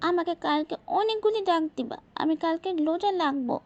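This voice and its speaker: Tiny stubborn girl, extremely high-pitched. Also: lively intonation, brisk, no echo, highs cut off. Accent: Bangladeshi. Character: small stubborn, eager little girl. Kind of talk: amateur cartoon voice acting.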